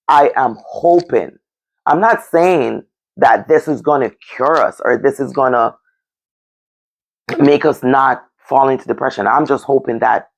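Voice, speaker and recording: A Jamaican woman with a low voice and plenty of gravelly fry hosts a casual discussion. She talks bluntly and emphatically, repeating phrases to press her point. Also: poor audio. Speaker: discussion show host.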